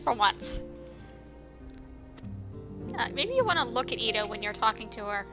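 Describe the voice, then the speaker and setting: A woman's voice: fairly high pitch, big pitch swings, normal speed, music in the background. A woman giving casual, sarcastic and exclamatory commentary over a video game, speaking informally with some swearing. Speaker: gameplay commentator.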